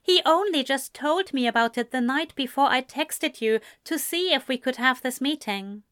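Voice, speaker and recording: Woman doing a formal, higher-pitched young man. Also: lively intonation, normal speed, fairly bright tone, clean tone, no room sound, studio quality. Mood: earnest.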